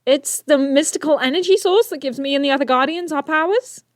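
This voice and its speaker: A young woman playing an eager, brainy teenage inventor hero in an audio drama, geeky and expressive. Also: high-pitched, brisk, no echo, clean studio sound, natural voice. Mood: curious, chatty.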